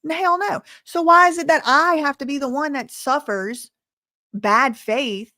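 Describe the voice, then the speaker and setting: A woman's voice: sits high, measured pace, pushed and bright, no echo, studio quality. An American woman hosting a casual live stream, chatting with viewers in a colloquial, sassy way. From Louisiana, United States. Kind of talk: casual live chat reading and answering viewer messages.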